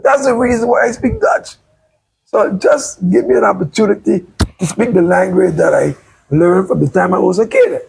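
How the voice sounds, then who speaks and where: A man's voice: high-pitched, normal speed, creaky, darkish tone, a little hiss or hum, rough recording. A man from Sint Maarten hosting a casual livestream interview outdoors, chatty and rambling. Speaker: talk show host. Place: outdoors by the beach.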